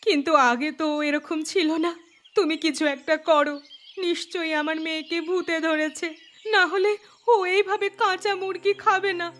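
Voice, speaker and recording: A woman's voice: very high-pitched, steady tone, unhurried, fairly bright tone. A woman voicing an anxious, earnest village female in a horror cartoon. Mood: worried. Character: anxious village mother; also her troubled teenage daughter.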